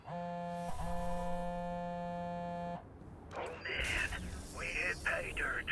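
An actor voicing a cocky, crude, easygoing middle-aged hacker in a video game, folksy, slangy and wisecracking. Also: male voice, sits high, level tone, drawn-out words, heavily acted. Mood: awed, delighted. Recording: loud music over game dialogue.

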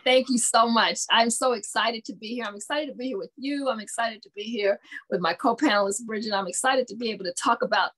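A woman's voice: on the high side, brisk, fairly bright tone, low-quality recording. A middle-aged woman from the American South, speaking as a panelist on a video call and telling a childhood story with many fillers. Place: home room with bookshelves. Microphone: video call webcam.

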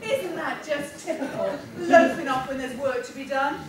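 Woman as an excitable, shrill-pitched pantomime character, very echoey. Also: moderate pace, a crowd in the background, lightly acted. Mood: grumbling. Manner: exaggerated, theatrical. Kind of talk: amateur pantomime stage acting. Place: theatre stage, filmed from far off. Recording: echoey noisy theatre, distant microphone.

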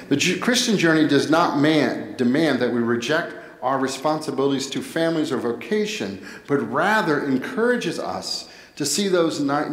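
A middle-aged American man preaching a sermon as a pastor, earnest and deliberate in his phrasing. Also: fairly high pitch. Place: indoor church, at a pulpit. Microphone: headset microphone. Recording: echoey church hall with long reverb.

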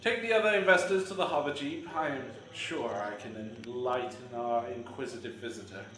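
A man's voice: mid-range voice, fairly quick, slight background noise, natural voice. A man playing a sinister scientist villain in an audio drama, speaking in a grandly formal, menacing, condescending way. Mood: cool menace. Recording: echoey, loud music bed.

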